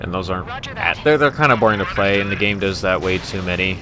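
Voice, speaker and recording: A man's voice: deep voice, lively intonation, fast, a crowd in the background. A man giving casual, rambling gameplay commentary as the laid-back host of a gaming video. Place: voice-over with game music behind.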